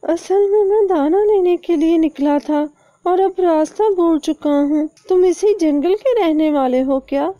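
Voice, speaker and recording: A woman voicing a sly male cartoon parrot who pleads and flatters in an ingratiating manner. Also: very high-pitched, a little slow, no echo, lo-fi sound, voice pushed up. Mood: flattering, feigned helplessness.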